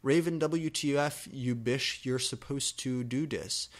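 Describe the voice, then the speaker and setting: A man's voice: medium pitch, measured pace, natural voice. A man voicing a defensive, furious teenage fan fiction author ranting petulantly through author's notes.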